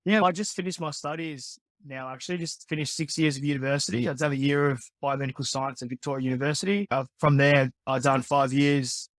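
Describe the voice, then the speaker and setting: A young man, a personal trainer and osteopath answering interview questions in a relaxed, friendly way with lots of "yeah". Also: medium pitch, brisk, hardly any pauses, dry recording. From born in the Philippines, raised in Australia. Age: 20s. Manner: relaxed, friendly, many yeahs and restarts.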